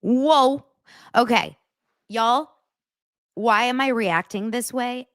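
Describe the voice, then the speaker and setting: A woman's voice: fairly high pitch, slow pace, a bit bright, no echo, studio quality. A woman hosting an online show, giving casual, exclamatory commentary straight to her audience. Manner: emphatic, exclamatory.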